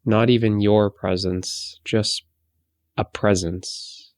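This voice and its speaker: A man guides a meditation in a deep, very even voice, recorded close and dry. He speaks gently and without hurry, letting long pauses settle between short phrases of soothing instruction. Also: no room sound.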